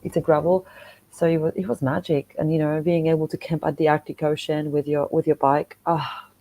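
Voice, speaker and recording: A woman's voice: deep voice, little pitch movement, a little fast, dark tone, low-quality recording. A middle-aged woman with a Polish accent, chatting casually and animatedly as she tells stories as a podcast guest. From Poland. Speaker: podcast guest, motorcycle traveller and content creator. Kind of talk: casual conversational storytelling.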